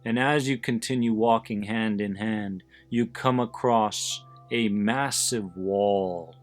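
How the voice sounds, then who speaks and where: A man leading a guided visualization in a deliberate, soothing manner, pausing between short phrases. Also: on the low side. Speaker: host of an anxiety support podcast, guiding listeners. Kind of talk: guided visualization, meditation narration. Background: background music.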